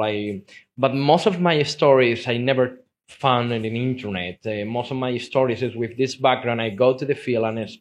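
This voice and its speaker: A man from Spain and Uruguay with a Spanish accent, a native Spanish speaker, giving an informal slideshow talk as a documentary photographer, often repeating words and restarting his sentences. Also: mid-range voice. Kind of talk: informal slideshow presentation to an audience.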